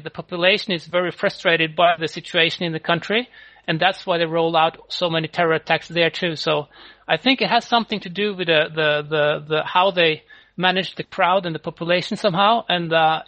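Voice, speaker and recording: A man with a French accent talks haltingly over a video call, stopping often on fillers and repeated words. His voice sits a bit high and sounds bright and forceful. The call audio is dull and lo-fi. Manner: halting, many fillers and restarts. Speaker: podcast guest. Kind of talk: casual online chat.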